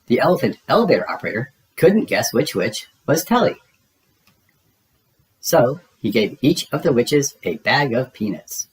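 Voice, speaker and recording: A man reading a children's book aloud in an expressive storytelling style, acting out the dialogue. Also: mid-range voice, normal speed, poor audio. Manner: animated, acts out character dialogue.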